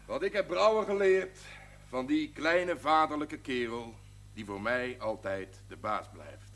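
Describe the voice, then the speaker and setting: A man's voice: medium pitch, expressive, measured pace, a bit bright. An actor playing a proud, jovial brewery man giving a declamatory, folksy speech to the workforce in a television drama. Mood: earnest, announcing. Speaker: actor in a Dutch television series. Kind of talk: celebratory speech to assembled brewery staff.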